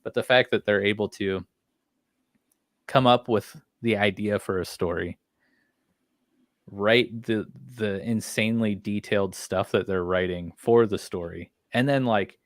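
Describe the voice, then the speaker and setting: A man's voice: lowish voice, a little slow, no echo, studio quality. A man co-hosting a podcast, chatting casually and enthusiastically about horror series in an informal way. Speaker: podcast co-host.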